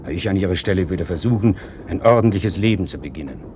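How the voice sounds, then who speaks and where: A man's voice: low voice, quick pace, noisy background, lightly acted. An actor playing a polite, well-meaning man giving emphatic moral advice in a radio play. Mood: admonishing. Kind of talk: 1960s German radio play acting.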